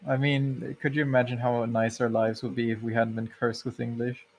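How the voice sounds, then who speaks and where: Gravelly-voiced German-native man, rambling. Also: medium pitch, little pitch movement, normal speed. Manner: rambling, many fillers and restarts. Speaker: podcast co-host. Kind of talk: casual chat.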